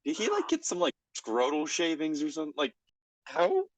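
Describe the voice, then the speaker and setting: A man's voice: fairly high pitch, huge pitch swings, moderate pace, no echo. A man hosting a comedic read-along in a casual, bantering style. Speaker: host of a comedic reading show.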